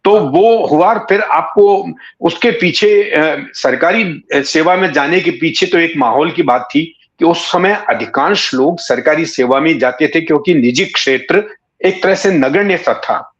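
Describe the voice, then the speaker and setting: A man, recalling his life in a measured, courteous, conversational way, often pausing mid-sentence. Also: a little high. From Rajasthan, India. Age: 60s. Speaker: interview guest, writer and former cricket commentator. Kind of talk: reflective conversational storytelling. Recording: echoey room, slightly dull sound.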